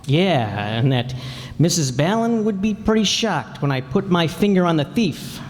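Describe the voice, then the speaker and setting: A man's voice: fairly high pitch, sing-song, measured pace. A man playing a blunt, wry young investigator in an old-time radio detective drama, direct and a little sardonic. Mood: reflective narration. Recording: echoey hall stage sound.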